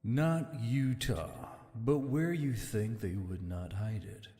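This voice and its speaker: A man's voice: medium pitch, takes it slowly, warm tone, close to an everyday voice. A man playing an ancient, aloof spirit bound in a torque, speaking in formal, archaic storytelling phrases for an audiobook. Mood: grave, knowing. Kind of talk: scripted audiobook character acting. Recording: long reverb, music behind.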